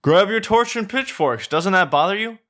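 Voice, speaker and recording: A man's voice: high-pitched, animated, moderate pace, fairly bright tone, voice pushed up. A man doing a grumpy, curt ogre. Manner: curt, sarcastic. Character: grumpy, irritable male ogre.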